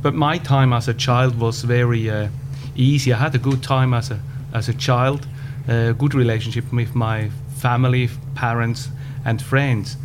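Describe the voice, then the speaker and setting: Man around fifty, Swiss German accent, measured and pausing, mid-pitched voice, constant hum behind. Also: fairly even intonation. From Switzerland. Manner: measured, with frequent pauses and "uh" fillers. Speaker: podcast guest, business owner. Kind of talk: casual interview answers. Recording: background hum.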